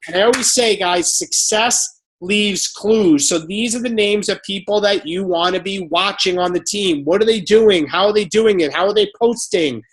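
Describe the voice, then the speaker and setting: A man's voice: sits high, normal speed, poor audio. Upbeat man hosting a team video call. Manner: upbeat, motivational.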